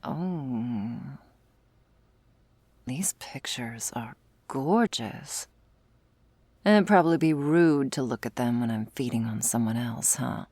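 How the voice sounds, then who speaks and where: Woman voicing a female vampire, low pitch, husky and breathy, smug, predatory, taunting, ASMR roleplay, close dry sound. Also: animated, slow pace, pauses often, mellow, no room sound, heavily acted. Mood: cool taunting. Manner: teasing, drawling, breathy asides.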